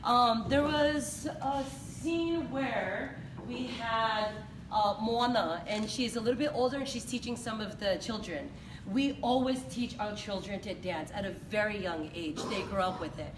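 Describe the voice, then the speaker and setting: Woman, island-raised choreographer, loud teaching voice; distant, roomy, noisy live recording. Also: a little high, measured pace. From Pacific Islands. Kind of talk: live event interview and demonstration. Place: large echoey event hall. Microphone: distant handheld phone recording. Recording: echoey hall from far off, noisy.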